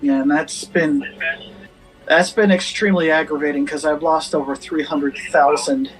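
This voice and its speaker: A man with a soft, gentle voice, chatting casually over voice chat with a streamer. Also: a little high, steady tone, normal speed, soft background music, rough recording. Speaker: guest gamer.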